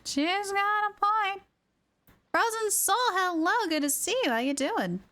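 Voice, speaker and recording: High-voiced woman streamer. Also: lively intonation, a little slow, pushed and bright. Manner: playful, repeats phrases for emphasis. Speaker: livestreamer. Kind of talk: casual game reaction commentary.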